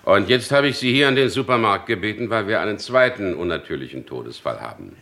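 An actor playing a stern, insistent police chief inspector in a radio crime drama, speaking formally and pointedly. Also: male voice, lowish voice, lively intonation, fast, gravelly, fairly bright tone. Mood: stern, explaining.

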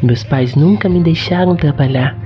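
A man's voice: on the high side, moderate pace, natural voice. A man voicing a shy, sweet young female student in love in an amateur radio soap, with a Brazilian accent. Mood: reflective. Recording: dull lo-fi audio, faint music behind.

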